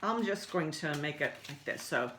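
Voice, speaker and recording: A woman's voice: low-pitched, lively intonation, moderate pace, a bit bright, slight background noise. A woman leading a story time for young children, speaking in simple, encouraging words as she explains songs, stories and activities. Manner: simple, encouraging address to small children with repetition.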